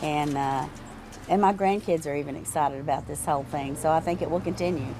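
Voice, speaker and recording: Low-voiced older woman, reflective and halting. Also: noisy background. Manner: reflective, halting with many fillers. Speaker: store owner, interviewee. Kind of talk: reflective interview answers.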